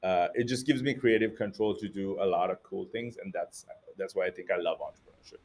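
A man's voice: low-pitched, fairly quick, a little mellow. A man from Sri Lanka, an entrepreneur guest, answering interview questions casually with many fillers and restarts.

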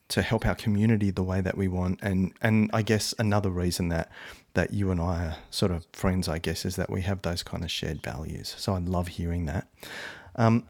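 A man's voice: low voice, darkish tone. A man hosting a podcast interview, friendly and encouraging. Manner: friendly, encouraging, many fillers. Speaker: podcast host.

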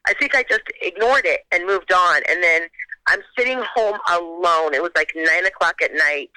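A woman guest on a phone call, telling a story in a casual, animated way and acting out her own reactions. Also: fairly low pitch, moderate pace, no room sound.

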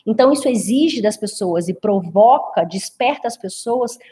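Brazilian webinar host in her forties, husky, unhurried drawl, explains step by step. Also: medium pitch, animated, dark tone. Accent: drawling inland Brazilian Portuguese. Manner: explanatory, measured, frequent "né?" tags. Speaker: webinar presenter, consultant. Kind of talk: online training webinar.

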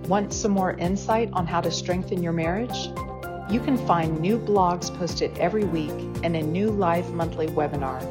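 A woman announcer reading a scripted promo outro for a show in a smooth, read-from-script way. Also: on the low side, normal speed. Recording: voice over loud music.

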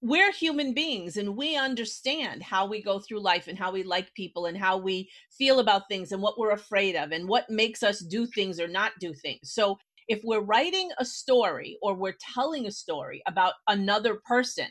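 Female podcast host, average pitch, straight-talking and explanatory, casual chat. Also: lively intonation, normal speed, hardly any pauses, fairly bright tone. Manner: direct, explanatory, checks with "right?" and "okay?".

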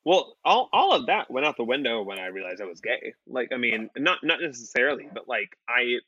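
A man's voice: medium pitch, animated, a little fast, bright, forceful tone, slightly rough, no room sound, highs cut off. A man in his late twenties chatting casually on a podcast, rambling with restarts and fillers and swearing freely. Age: late 20s. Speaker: podcast co-host. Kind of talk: casual chat.

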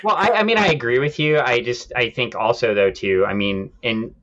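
A man's voice: medium pitch, normal speed, fairly bright tone, muffled recording. A man co-hosting a podcast, explaining things in a casual, conversational way. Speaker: podcast host. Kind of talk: casual discussion of comic book history.

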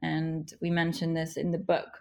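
A woman in her thirties, a native speaker of Russian and Ukrainian, answering interview questions in an earnest, encouraging way with slow, emphatic phrasing. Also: sits low, completely flat delivery, gravelly, a little mellow. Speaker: guest, author and business leader.

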